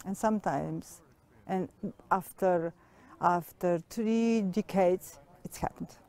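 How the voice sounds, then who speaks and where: Slow, hesitant older woman. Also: fairly low pitch, darkish tone. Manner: hesitant, searching for words. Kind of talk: interview answers. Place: outdoors in a grassy meadow.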